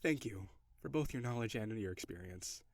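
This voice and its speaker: Guy doing a reserved curious alien for audio rp, kinda low voice, super formal n precise, dry close sound. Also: animated, normal speed, no echo. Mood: polite, curious. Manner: stiff, formal, precise phrasing. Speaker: audio roleplay performer. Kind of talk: intimate scripted audio roleplay addressed to the listener.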